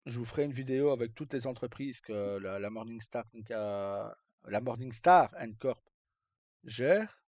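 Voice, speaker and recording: A man's voice: medium pitch, expressive, unhurried, darkish tone. A man chatting casually to live viewers as a streamer, rambling and hesitant in colloquial speech with some swearing. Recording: dull lo-fi mic in echoey room.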